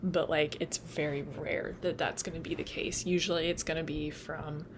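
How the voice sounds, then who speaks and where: Low-voiced young woman tutoring casually. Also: flat delivery, normal speed, noisy background. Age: young adult. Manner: chatty, lots of "like" and "um", laughs at own slips. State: losing her voice. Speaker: nursing student tutor. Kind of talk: casual study lecture.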